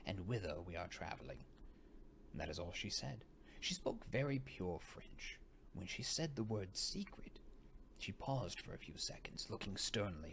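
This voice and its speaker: A man acts out a genteel older father from a gothic storybook, low in pitch and measured. He confides with a knowing, secretive air, sometimes hushed, over a slightly noisy recording. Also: heavily acted. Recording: noticeable background noise.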